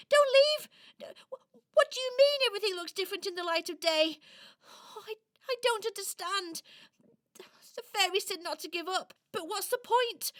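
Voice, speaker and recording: A woman's voice: high-pitched, a bit bright. A woman playing a sad, scared little toy in a children's radio play, plaintive, hesitant and full of self-doubt. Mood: distressed.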